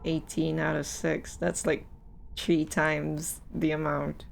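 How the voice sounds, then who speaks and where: A woman's voice: sits low, little pitch movement, takes it slowly, vocal fry, faint music behind. A woman livestreaming a game, musing aloud and reacting with exasperation in casual commentary.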